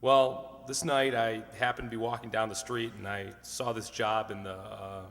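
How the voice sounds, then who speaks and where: A man's voice: on the low side, flat delivery, moderate pace, fairly bright tone. A man playing a plain-spoken married salesman as a hesitant, rambling contestant on an old-time radio quiz. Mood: earnest. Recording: long hall reverb.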